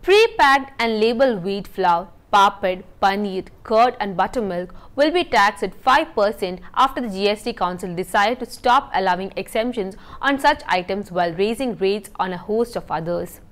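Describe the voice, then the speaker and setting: Young woman, studio news presenter, steady measured read, mid-range voice. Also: medium pitch. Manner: steady, measured newsreader delivery reading from a script. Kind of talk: scripted news reading. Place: indoor TV news studio set.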